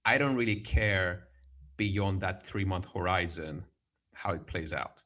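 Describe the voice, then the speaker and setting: Rambling low-voiced man from Cyprus. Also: unhurried, bright, forceful tone. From Cyprus/Greece. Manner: rambling, many fillers and restarts. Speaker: guest trader. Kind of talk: casual podcast chat.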